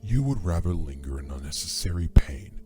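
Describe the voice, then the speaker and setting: A man's voice: low-pitched, big pitch swings, normal speed, music in the background. A man playing a murderous, charismatic male dragon in an audio drama, with a theatrical, mocking, condescending manner. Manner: theatrical, condescending, sighing drawl. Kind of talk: fan audio drama acting.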